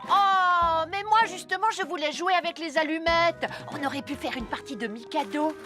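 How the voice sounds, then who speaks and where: Bossy little cartoon boy, super high n bright, whiny and dramatic, bit of music behind. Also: normal speed. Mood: cheeky. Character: boisterous mischievous little boy cadet. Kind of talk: children's cartoon dub.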